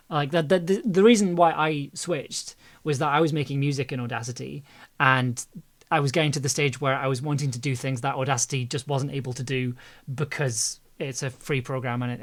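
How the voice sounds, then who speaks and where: A livestream host chatting casually while editing audio, rambling and often repeating words. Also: mid-range voice.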